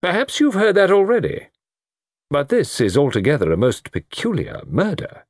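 Male narrator as stern old English colonel, gruff, suspicious, blustering, animated pitch, audiobook dialogue, dry close sound. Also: moderate pace, no echo, heavily acted. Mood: grim. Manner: heavy, grave, blustering.

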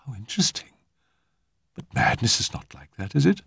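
A man's voice: mid-range voice, big pitch swings, moderate pace, gravelly, fairly bright tone, husky, no room sound, clean studio sound, pitched above the performer's own voice, heavily acted. A man voicing a vague, gushing society lady in a storybook, with a fluttery, sighing manner. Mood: vague, dismayed.

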